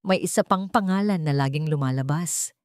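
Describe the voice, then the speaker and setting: A woman voicing a sober male army general in a story, briefing in a measured, matter-of-fact way. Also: deep voice, darkish tone, no echo, clean studio sound, lightly acted. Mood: businesslike.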